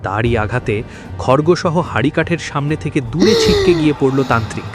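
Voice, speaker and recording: Theatrical male horror narrator, very animated pitch. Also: moderate pace, over music. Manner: theatrical, suspenseful, emotive. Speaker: story narrator. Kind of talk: dramatic scripted horror narration.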